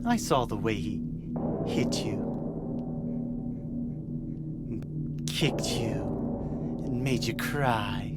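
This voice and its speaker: A man's voice: medium pitch, slow, close to an everyday voice. An actor playing a smug, mocking male priest in a video game cutscene, speaking with sneering, teasing questions. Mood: insinuating. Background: music.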